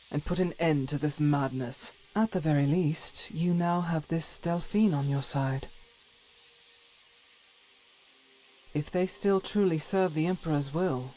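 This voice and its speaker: A woman's voice: sits low, warm tone, natural voice, poor audio. A woman voicing a solemn, devout adventurer companion in a fantasy game, speaking earnestly in formal, archaic phrasing. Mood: grave, reassuring. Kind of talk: scripted fantasy game dialogue.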